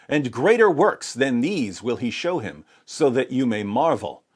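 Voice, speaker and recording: A man presenting scripted religious commentary and scripture readings in a dramatic, rhetorical manner. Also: mid-range voice. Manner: dramatic, rhetorical, sarcastic mock alarm. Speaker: apologetics presenter.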